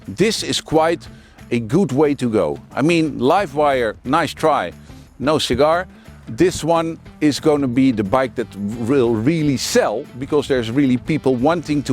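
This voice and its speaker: A man in his forties with a Dutch accent, casually reviewing a motorbike outdoors with lots of fillers and restarts. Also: fairly high pitch. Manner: chatty and frank, with many fillers and restarts. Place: outdoors at a working mine site.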